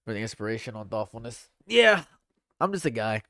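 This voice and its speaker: A young man with a stuffed-up nose, reading chat comments on a livestream in a dry, deadpan way. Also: on the low side, a little fast, vocal fry, dry recording. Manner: dry and deadpan, laughs at own jokes. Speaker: livestream host. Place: home room.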